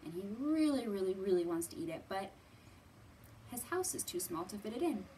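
A woman in her thirties presenting a children's storytime as a librarian, talking simply to small children with drawn-out words and thoughtful hums. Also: medium pitch, big pitch swings, mellow, a little hiss or hum. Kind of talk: children's storytime teaching, read-aloud. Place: indoors in a library.

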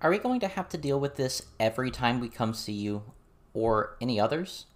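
A man's voice: mid-range voice, very animated, fairly quick, voice pushed up, natural voice. A man plays a confrontational girl in an audiobook. The voice is pushed well above his own, blunt and accusing, ready to argue with anyone. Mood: exasperated. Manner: blunt, challenging, raising voice. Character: fierce, confrontational young girl, blunt and suspicious.

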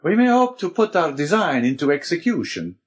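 A man voicing a gentle, anguished, principled elderly scholarly priest in an audiobook, speaking in earnest, formal exclamations. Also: on the high side, very animated, moderate pace, pitched above the performer's own voice.

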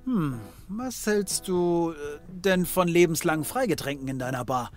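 An actor playing a sardonic, cheeky private detective in a comedy audio drama, flippant and casual. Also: male voice, fairly high pitch, unhurried, soft background music, close to an everyday voice. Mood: amused.

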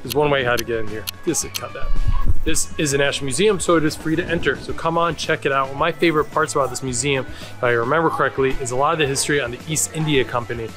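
A man's voice: mid-range voice, fast talker, music in the background. A man in his forties hosting a travel vlog, chatting and joking as he explains sights while walking outdoors and through museums. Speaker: travel vlogger guide. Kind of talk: casual travel vlog narration. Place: outdoors in a park and museum halls.

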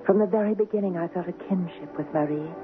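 An actress playing an earnest, romantic young woman haunted by the past, in 1970s radio drama style with dramatic pauses. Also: on the low side, music in the background, natural voice. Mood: wistful, confiding. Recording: noisy, phone-like 1970s radio recording.

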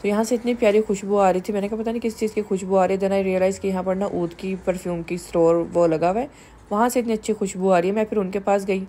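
A woman's voice: medium pitch, fairly even intonation, fast talker, warm tone, a little hiss or hum. A woman, giving candid, chatty voice-over narration for her shopping vlog and words into her speech. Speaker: vlogger.

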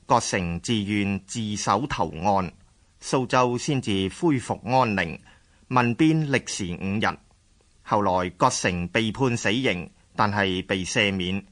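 A man with a Hong Kong Cantonese accent giving formal, measured scripted narration for a historical radio drama. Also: medium pitch.

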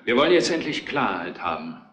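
An actor playing a stern, methodical police investigator in a retro crime drama, matter-of-fact and firm, in an echoey, slightly noisy old recording. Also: male voice, mid-range voice, very animated, brisk, a bit bright. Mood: stern, factual.

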